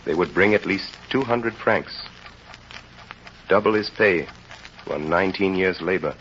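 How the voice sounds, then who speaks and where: A man narrating an old-time radio drama in a grave, deliberate, rhetorical style. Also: sits low, a bit bright. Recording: dull, noisy old radio recording.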